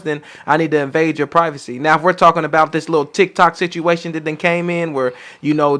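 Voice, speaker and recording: Fast-talking, higher-voiced man. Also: fairly bright tone. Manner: slangy, reasoning out his opinion. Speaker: podcast panelist. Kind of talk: casual opinion talk.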